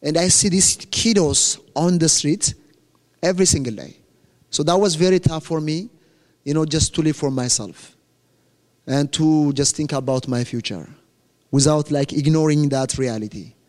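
An earnest young man with an Ethiopian accent, whose first language is Amharic, gives a church testimony in plain, direct English. His voice sits a little high for a man, and it rings with a long reverb through a large hall. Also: normal speed, darkish tone. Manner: earnest, plain-spoken, self-correcting, joking about his accent. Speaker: guest speaker at a church.

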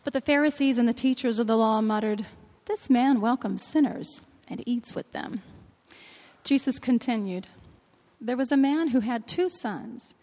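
A woman reading a long Bible passage aloud in church, in a measured, clear way. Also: on the high side, mellow. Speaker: scripture reader. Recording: long reverb of a large hall.

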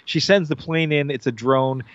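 A man's voice: a little high, moderate pace, no room sound, muffled recording. Man recapping an episode, filler-heavy. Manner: rambling, filler-heavy, animated retelling. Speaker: podcast host recapping a TV episode. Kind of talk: casual chat.

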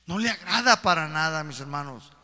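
A man's voice: on the high side, animated, fairly quick, pushed and bright. A man preaching a sermon to a congregation, emphatic and full of rhetorical questions. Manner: emphatic, addresses listeners with repeated rhetorical questions. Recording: reverberant hall.

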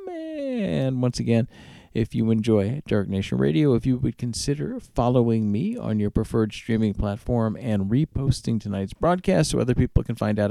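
Animated male radio DJ with creaky voice. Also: medium pitch, no room sound. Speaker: radio DJ and show host. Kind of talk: casual music radio back-announcing.

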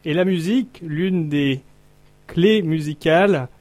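Interviewer, man, fairly high voice, short prompts, dry sound. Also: slow, a little mellow, dry recording. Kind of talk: interview questions.

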